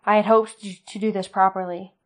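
A woman's voice: medium pitch, little pitch movement, fairly quick, lightly acted. A woman voicing a resolute young man who declares himself firmly and earnestly.

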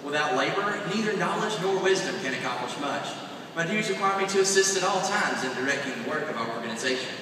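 A man's voice: a little high, lively intonation, fast, noisy background. A young man reciting formal ceremony lines in a declaiming manner, heard through an arena PA. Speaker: vice president of a youth organization. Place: large arena. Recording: echoey arena PA from far off.

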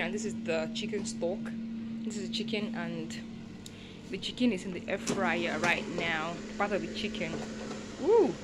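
A young Nigerian woman chats casually and thinks aloud as she films herself cooking on a handheld phone in her home kitchen. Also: lowish voice. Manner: chatty, exclaiming, thinking aloud. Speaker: food vlogger. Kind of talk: casual cooking vlog chat. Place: small home kitchen, indoors. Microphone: handheld phone behind the camera. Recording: noisy phone recording with kitchen cooking sounds. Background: clear cooking noise.

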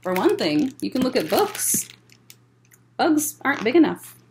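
Woman in her thirties, children's librarian, picture-book read-aloud, highly varied intonation, measured phrases, chatty asides, faint noise in the recording. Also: very animated, a little mellow. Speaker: children's librarian reading at story time. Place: library room, indoors.

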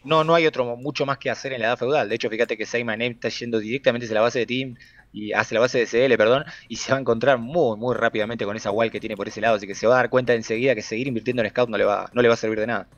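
Brief: Argentine male co-caster, quick delivery, muffled online voice chat sound. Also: medium pitch. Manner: fast, steady analytical reads. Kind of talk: live game commentary over voice chat. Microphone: voice chat headset. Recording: dull lo-fi voice chat audio.

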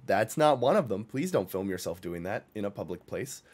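A man's voice: on the low side, lively intonation, fairly quick, darkish tone, husky. A young man giving casual, blunt, slangy reaction commentary with an exasperated manner. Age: young adult. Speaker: reaction video host. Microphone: boom microphone close by.

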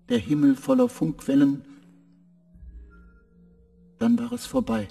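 An actor playing a confused male spacecraft AI who gives short flat answers and drifts into eerie visions, in a radio play. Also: on the high side, creaky, heavily acted.

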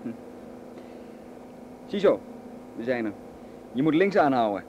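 A man's voice: medium pitch, sing-song, a little slow, dull audio, background noise. An actor playing a jovial, smugly menacing henchman in a radio drama, falsely friendly and prone to chuckling. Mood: gloating joviality.

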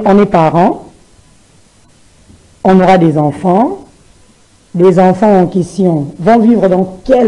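A woman from Kinshasa with a Congolese French accent, answering studio interview questions as a political candidate, with a halting delivery. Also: lowish voice, dark tone, low-quality recording. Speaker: parliamentary candidate and party spokesperson. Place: television studio. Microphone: gooseneck desk microphone.

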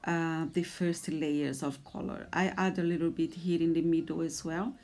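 A woman in her forties from Brazil, speaking English with a Brazilian Portuguese accent and talking softly and a little hesitantly as she explains a drawing tutorial. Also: deep voice, little pitch movement, normal speed. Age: 40s. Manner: soft, hesitant, a little nervous. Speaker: art tutor.

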